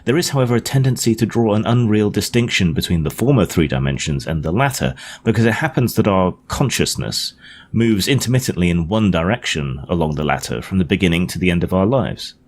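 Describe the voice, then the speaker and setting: A man voicing an earnest Victorian gentleman scientist in an audiobook, lecturing his guests in a precise, emphatic, didactic way. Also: deep voice, expressive, brisk. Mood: animated lecturing. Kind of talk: audiobook character dialogue. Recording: clearly noisy home recording.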